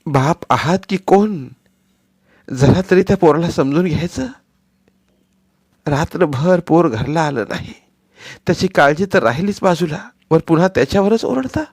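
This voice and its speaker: A man's voice: fairly high pitch, fast, dry recording, voice pushed up, natural voice. A man voicing a stepmother who scolds her husband in a heated, reproachful way, in a storybook reading. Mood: angry, reproachful. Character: grieving stepmother, fierce when roused.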